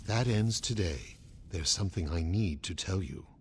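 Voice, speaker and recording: An actor playing a solemn, protective older former guard captain in a video game, speaking earnestly and gravely. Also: male voice, low voice, expressive, normal speed, slight background noise. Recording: music under the voice.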